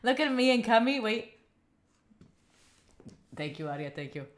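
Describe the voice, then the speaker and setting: A woman's voice: on the high side, big pitch swings, measured pace. A young woman with an Italian accent chatting casually on a live stream, rambling and giggling with lots of fillers. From Italy. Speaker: study streamer.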